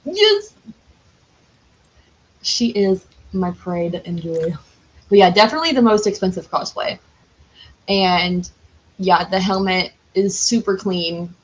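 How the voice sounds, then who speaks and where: Young woman on a podcast, excited casual rambling, mid pitch, lots of likes. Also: slow pace, pauses often, low-quality recording. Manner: enthusiastic, rambling, heavy use of "like". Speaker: podcast guest, cosplayer. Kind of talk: casual chatty interview.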